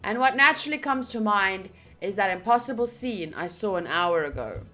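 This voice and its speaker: A woman streamer reading a visual novel's narration aloud during a playthrough, with expressive, gushing asides. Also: medium pitch, normal speed, faint music behind. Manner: expressive, gushing asides between read passages.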